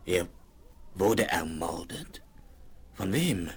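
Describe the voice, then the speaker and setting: An actor playing a calm, decisive frontier hero in a Western radio play, measured and authoritative. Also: male voice, low voice, slightly rough, heavily acted. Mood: concerned. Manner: measured, authoritative, terse questions. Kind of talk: 1970s radio play acting.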